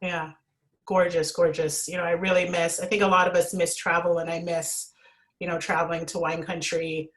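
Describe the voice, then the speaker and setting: A woman's voice: fairly low pitch, little pitch movement, moderate pace. A woman originally from Jamaica, a sommelier hosting a casual interview, asking friendly, winding questions full of asides. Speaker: sommelier host.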